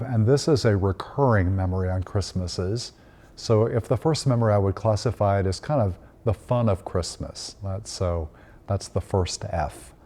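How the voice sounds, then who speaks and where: Priest in his fifties from Tennessee, mellow low voice, gentle reflective storyteller. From Tennessee, United States. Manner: gentle and unhurried, frequent "uh" fillers. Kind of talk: reflective Christmas storytelling message.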